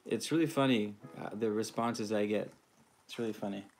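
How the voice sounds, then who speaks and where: A man's voice: on the low side, normal speed, darkish tone. Man from California, livestream host, loose rambling chat with lots of ums, faint music underneath. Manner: rambling, laid-back, frequent ums, greets viewers by name. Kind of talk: casual livestream chat reading viewer comments.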